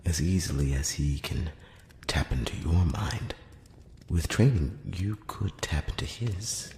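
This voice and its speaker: A solemn, gentle elf envoy voiced by a man whispers and murmurs close up in a fantasy ASMR roleplay. The delivery is slow, halting and measured, with careful courtesy. Long reverb hangs around the hushed voice, over faint background noise. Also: animated, full character voice. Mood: gentle, curious. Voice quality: breathy whisper mixed with very low murmur.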